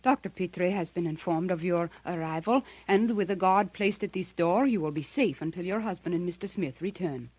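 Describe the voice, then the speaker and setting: An actress playing a nervous, dutiful French nursing sister in a 1930s radio drama, deferential and breathlessly dramatic. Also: female voice, lowish voice, brisk, few pauses, slightly rough, no room sound. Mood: reassuring. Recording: dull, muffled vintage radio recording.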